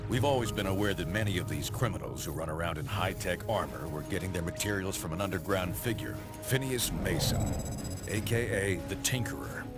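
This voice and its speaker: A gruff, rather low male voice plays a hard-bitten commander in a game cutscene. He is brusque and mocking, used to being obeyed. Loud music plays underneath. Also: lively intonation, a little fast. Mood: businesslike. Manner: barking orders, brusque and mocking. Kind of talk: video game cutscene acting.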